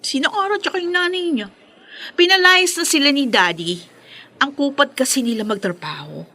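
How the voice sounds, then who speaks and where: A woman's voice: sits high, lively intonation, normal speed, sharp tone, full character voice. A woman with a Filipino accent playing a spoiled, haughty, sneering schoolgirl bully in a radio drama. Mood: smug, scornful. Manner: sneering, bratty.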